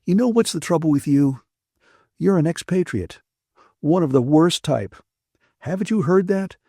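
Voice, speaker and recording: A man's voice: animated, normal speed, mellow, husky, dry recording, studio quality. An audiobook narrator voicing a teasing, wisecracking young man who lectures his friend in a playful, mocking way. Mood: mock-lecturing. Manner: mock-lecturing, bantering, high and lilting. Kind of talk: audiobook character voice.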